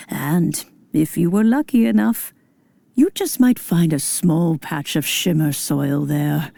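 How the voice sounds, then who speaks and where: A woman playing an elderly, kindly immortal in a video game, speaking in formal, old-fashioned phrases with gentle authority. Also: deep voice, gravelly, slightly rough, dry recording, exaggerated voice. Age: older. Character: elderly kindly female adeptus, nostalgic and gently commanding. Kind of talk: scripted video game acting.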